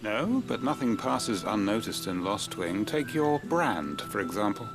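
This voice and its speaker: An actor playing a shrewd, dignified tavern owner in a fantasy game, speaking formally with measured, knowing authority. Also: male voice, on the low side, very animated, music in the background. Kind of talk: video game cutscene acting.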